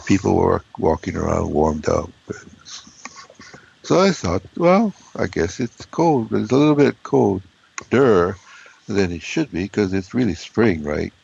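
A man with a hoarse, froggy voice, chatting loosely as a regular guest and rambling with many ums and uhs. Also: deep voice, very animated, unhurried, darkish tone, lo-fi sound, slight background noise. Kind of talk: casual conversation.

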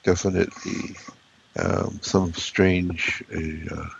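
A man with a hoarse, froggy voice, chatting loosely as a regular guest and rambling with many ums and uhs. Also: low-pitched, little pitch movement, takes it slowly, lo-fi sound, slight background noise. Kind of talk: casual conversation.

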